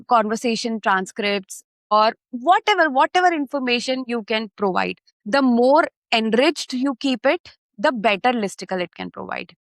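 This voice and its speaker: A woman's voice: fairly high pitch, a bit bright, no echo. Woman guest explaining with anecdotes. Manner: explanatory, anecdotal, checks in with "okay?" and "right?". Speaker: podcast guest, content marketer. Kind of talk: podcast interview.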